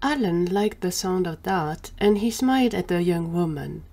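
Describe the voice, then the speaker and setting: A woman's voice: on the low side, expressive, moderate pace, mellow. A woman narrating a scripted audio drama story as the storyteller.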